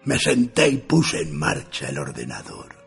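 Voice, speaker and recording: Man acting a gravelly, jaded writer narrating. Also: extremely low pitch, huge pitch swings, measured pace, faint music behind, full character voice. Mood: deadpan, weary. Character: jaded, sardonic male writer narrating his idle days. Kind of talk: first-person radio theatre narration.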